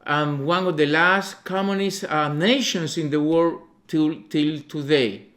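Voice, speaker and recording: Cuban-accented man speaking haltingly in church. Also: fairly high pitch, a bit bright. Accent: Cuban Spanish. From Cuba. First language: Spanish. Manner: halting, searching for words. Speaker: visiting ministry leader. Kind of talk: church testimony. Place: church sanctuary.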